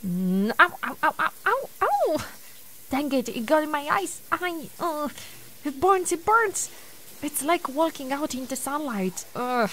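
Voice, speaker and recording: A woman's voice: sits high, very animated, a little slow, fairly bright tone, exaggerated voice, rough recording. A woman playing a playful, clumsy, bloodthirsty female vampire who mutters theatrically to herself in an ASMR audio roleplay. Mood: pained, panicky.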